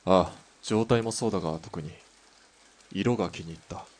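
A man playing a terse, cool sniper in a Japanese audio drama, deadpan and unhurried when talking shop. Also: sits low. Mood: cool, absorbed.

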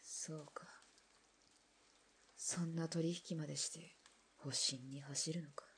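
A woman's voice: fairly even intonation, takes it slowly, fairly bright tone, slight background noise, full character voice. A woman playing a defiant Russian ex-officer in a radio drama, blunt and challenging. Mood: subdued. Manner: blunt, challenging, low and clipped. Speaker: former Russian imperial soldier. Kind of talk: hobby radio drama acting.

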